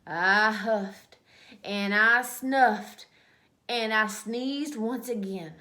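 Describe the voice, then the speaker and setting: A woman's voice: medium pitch, very slow, sharp tone. A woman voicing a self-justifying, folksy male wolf in a children's storybook read-aloud, with dramatic mock-innocent delivery. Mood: aggrieved. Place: small indoor room at home.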